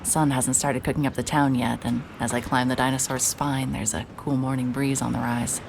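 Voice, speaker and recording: Low female voice, hard-boiled private eye, sardonic, blunt, noisy ambient backdrop, audio drama. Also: flat delivery, normal speed, gravelly. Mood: wry, weary. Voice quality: low-pitched voice. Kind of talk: cinematic audio drama, noir narration and naturalistic dialogue.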